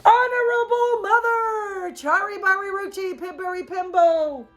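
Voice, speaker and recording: A woman voicing a frantic, breathless little boy who shouts and pants as he pleads. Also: extremely high pitch, a little slow, soft background music, pitched above the performer's own voice. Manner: shouting, panting.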